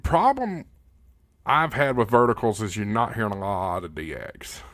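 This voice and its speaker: A man's voice: lowish voice, sing-song, moderate pace, fairly bright tone, dry recording. A man hosting a casual live Q&A, reading out viewer comments and answering in a folksy, plain-spoken way. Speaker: livestream host.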